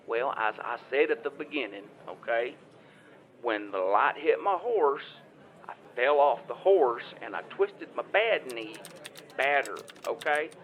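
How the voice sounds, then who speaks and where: A man's voice: medium pitch, expressive, a little slow, lightly acted. A man playing a folksy small-town caller, a former football player, who stammers and rambles in an improvised comedy phone call. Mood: rambling, pleading.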